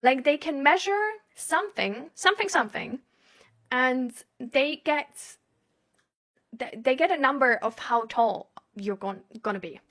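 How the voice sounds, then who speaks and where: A woman's voice: high voice, animated, unhurried, fairly bright tone. A woman from Germany chatting casually as a live host, rambling and thinking aloud in a hesitant, giggly way. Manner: giggly, laughs often while talking. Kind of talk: casual chat.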